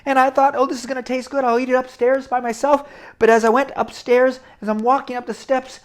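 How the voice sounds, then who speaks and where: A man's voice: very high voice, level tone, a little fast, pitched above the performer's own voice. A man voicing an earnest little girl who eagerly explains herself to her mother.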